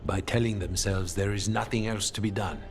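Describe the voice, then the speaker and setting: An actor playing a stern, fatalistic assassin leader in a video game, speaking gravely and deliberately. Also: male voice, fairly low pitch, fairly even intonation, soft background music, lightly acted. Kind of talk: dramatic video game acting.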